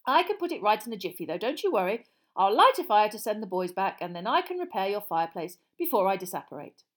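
A woman voicing an amiable middle-aged wizard father for a storybook, vague, excitable and apologetic. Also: mid-range voice, fast talker, close to an everyday voice.

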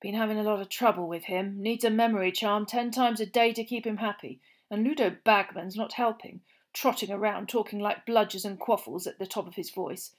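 A woman voicing an exhausted male wizard official who grumbles in a weary, low-key way. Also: fairly even intonation, fairly quick, lightly acted.

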